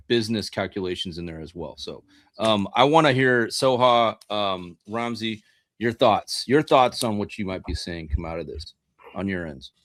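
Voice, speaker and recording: A man's voice: fairly low pitch, a bit bright, no echo, studio quality. A man hosting a political podcast, explaining ideas and acting out quoted speech. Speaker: podcast host. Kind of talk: casual political discussion.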